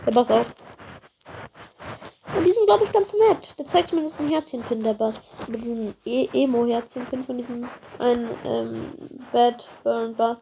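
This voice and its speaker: A woman with a slight cold, giving excitable, chatty commentary on her own gameplay, swearing and apologizing as she plays. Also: fairly high pitch, moderate pace, gravelly, dull audio, background noise. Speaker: gaming video creator.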